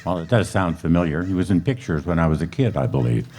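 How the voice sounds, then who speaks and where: A man playing a stoic, businesslike police detective in an old radio drama, deadpan, clipped and polite. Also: low-pitched, quick pace. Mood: matter-of-fact. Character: stoic, businesslike male police sergeant narrating his case. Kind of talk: 1950s radio detective drama narration and dialogue.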